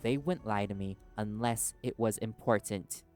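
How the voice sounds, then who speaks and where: A man's voice: fairly low pitch, moderate pace, a little mellow, smooth, faint music behind, natural voice. A man playing a stubborn, guarded reporter held captive in an audio roleplay, arguing back in loyal defiance. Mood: defiant, confused. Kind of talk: dramatic audio roleplay acting.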